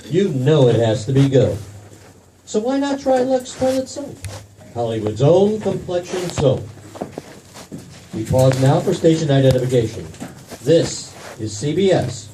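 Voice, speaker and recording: Actor as animated, mellow 1940s announcer. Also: male voice, a little high. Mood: persuasive. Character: affable, smooth 1940s male radio announcer. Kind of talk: 1940s radio commercial announcing. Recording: distant mic, faint room noise.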